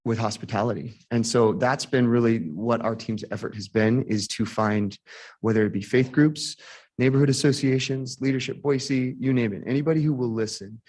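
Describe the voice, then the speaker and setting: A man's voice: mid-range voice, normal speed, a little mellow. A man giving an earnest presentation to a city council, stringing together long lists of phrases. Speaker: housing task force speaker.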